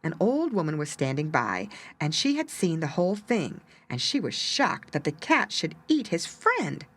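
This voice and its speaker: A woman's voice: sits low, huge pitch swings, normal speed. A woman narrating a children's story expressively, with dramatic emphasis.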